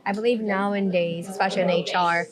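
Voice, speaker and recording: A woman's voice: medium pitch, steady tone, quick pace. Mexican accent woman, chill reflective chat, says right a lot, crowd chatter behind. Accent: Mexican Spanish. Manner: reflective, often checks in with "right?". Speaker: HR professional, podcast guest. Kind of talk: casual conversation.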